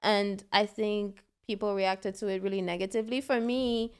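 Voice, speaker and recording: A woman from Ghana, a musician guest in a casual interview, thoughtful and measured. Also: medium pitch, steady tone, clear, resonant tone.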